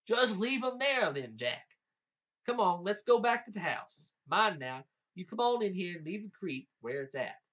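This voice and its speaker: A man voicing a big, gullible old giant in a folktale, with an Appalachian accent and folksy, drawn-out protests. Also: high-pitched, very animated, a bit bright, highs cut off, pitched above the performer's own voice, heavily acted. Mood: grudging. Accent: Appalachian American.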